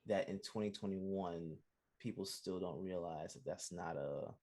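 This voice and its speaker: Slow, low-voiced man co-hosting. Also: darkish tone. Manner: brief, agreeable listener. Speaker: podcast co-host. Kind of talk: casual movie discussion.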